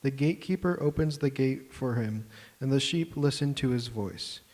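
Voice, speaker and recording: A man reading scripture aloud in church, measured and steady. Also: medium pitch, rough recording. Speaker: scripture reader. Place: church sanctuary.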